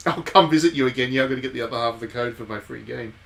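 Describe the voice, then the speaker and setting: A man's voice: mid-range voice, very fast, fairly bright tone. A man giving casual live commentary on a game, with wry, playful asides and some reading of on-screen text. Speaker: game streamer and former journalist. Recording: clear background noise.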